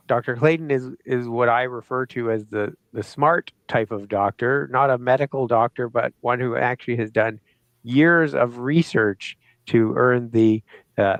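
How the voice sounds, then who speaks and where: A man's voice: mid-range voice, no echo. Dry-sounding man hosting a show. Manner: friendly, halting, many fillers. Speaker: host. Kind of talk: interview hosting.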